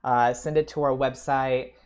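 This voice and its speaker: A man's voice: mid-range voice, level tone, unhurried, darkish tone. Joking, informal man co-hosting a podcast. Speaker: podcast co-host. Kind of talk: casual podcast chat.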